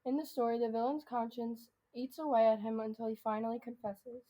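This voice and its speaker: A woman's voice: on the high side, level tone, brisk, warm tone, lightly acted, low-quality recording. A young girl of about twelve playing a patient, instructive schoolteacher in a comedic radio drama. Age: child, about 12 to 13. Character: female schoolteacher, explaining patiently to her class.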